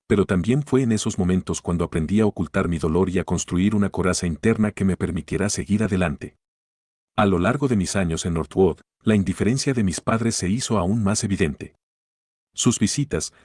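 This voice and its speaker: A man with a very low, deep voice tells a first-person story at a steady, measured pace. The sound is dry and close, with no room echo. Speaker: narrator.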